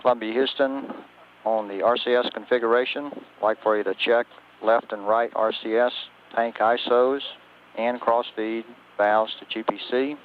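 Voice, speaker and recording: A man's voice: mid-range voice, steady tone, normal speed. An American man working as a mission control communicator, speaking in procedural radio calls over a two-way radio link. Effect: two-way radio transmission. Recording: tinny, noisy 1980s space radio link.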